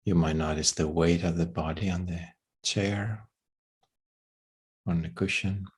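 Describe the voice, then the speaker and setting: A man leading a guided meditation in a gentle, unhurried way, with long pauses between phrases. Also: sits low, steady tone, breathy edge.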